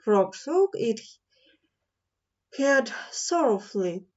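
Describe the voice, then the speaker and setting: Woman narrator for a children's audio fairy tale, lilting and unhurried, somewhat high voice, muffled lo-fi recording. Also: big pitch swings. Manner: slow, lilting read-aloud delivery. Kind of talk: slow storybook narration for children.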